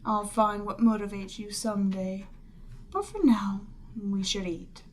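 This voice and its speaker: Woman voicing a slow, haughty demon queen. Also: mid-range voice, natural voice. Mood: imperious, needling. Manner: haughty, measured, lapses into breathy near-whispers. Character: proud, seductive demon queen holding a hero captive. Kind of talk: scripted ASMR roleplay addressed to the listener.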